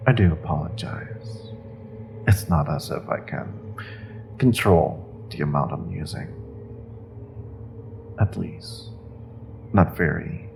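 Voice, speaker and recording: A man playing a cruel rogue sorcerer in a fantasy audio drama, taunting a captive with mock politeness, often dropping toward a breathy whisper. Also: sits low, darkish tone, slight background noise, exaggerated voice. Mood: sadistic mock sympathy. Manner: slow, taunting, mock-polite, savoring threats with pauses. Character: cruel, menacing rogue sorcerer, male captor taunting his prisoner.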